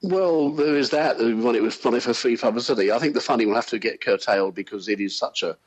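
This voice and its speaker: A man's voice: medium pitch, fast talker, slightly rough, rough recording. A man chatting casually as an interview guest, with a hesitant, wry, discursive way of talking. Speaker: interviewed UFO sceptic.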